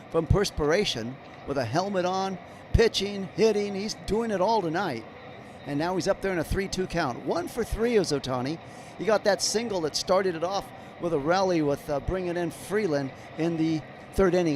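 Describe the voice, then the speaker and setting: Slightly hoarse guy calling baseball pitch by pitch, high voice, faint street noise. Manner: describes each pitch as it happens. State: slightly hoarse, clearing throat. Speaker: play-by-play commentator. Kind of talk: live play-by-play commentary.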